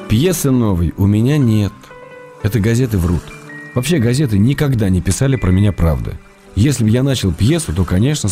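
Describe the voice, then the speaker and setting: An actor reading a middle-aged writer's letters in a radio play, dry and gently ironic, with soft music behind. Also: male voice, lowish voice, lightly acted.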